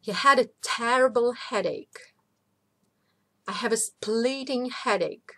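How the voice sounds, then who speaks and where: High-voiced woman teaching English vocabulary. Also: slow pace, a bit bright. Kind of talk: explanatory vocabulary lesson. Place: voice-over of a screen recording.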